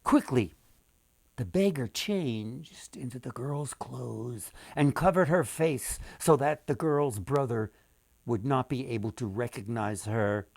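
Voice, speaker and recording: A man reading a folktale aloud as its author, in an expressive storytelling style with deliberate, dramatic pauses. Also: medium pitch, creaky, no room sound.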